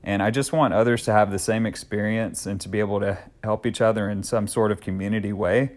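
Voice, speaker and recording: A man's voice: lowish voice, steady tone, a little fast. A man in his thirties hosting a solo podcast, talking in an earnest, upbeat, conversational way with occasional fillers. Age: 30s. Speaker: podcast host.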